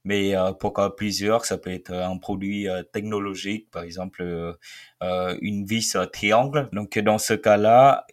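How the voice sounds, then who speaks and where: A man in his twenties with a fairly low voice gives a first-person account of his work. He talks haltingly, filling his pauses often as he explains. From Vietnam. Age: 20s. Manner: hesitant, many filled pauses. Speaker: researcher and startup founder.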